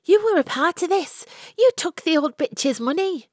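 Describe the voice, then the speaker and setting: A woman acts out a wronged young bride from a storybook, defiant and bitter. Her voice leaps high and turns shrill with emotion. The sound is close and dry. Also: animated, moderate pace, darkish tone, no echo. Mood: bitter anger.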